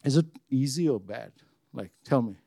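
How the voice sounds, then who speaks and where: A man with a deep, bassy voice preaching an informal sermon to a room, talking conversationally, repeating himself and asking his listeners questions. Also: expressive, normal speed, vocal fry, mellow, dry recording, clean studio sound. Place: church room with congregation.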